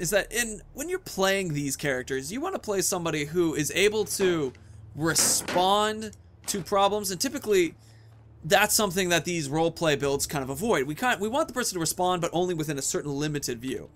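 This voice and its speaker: A man's voice: sits high, expressive, brisk, fairly bright tone. A man in his thirties giving casual commentary as the host of a gaming video.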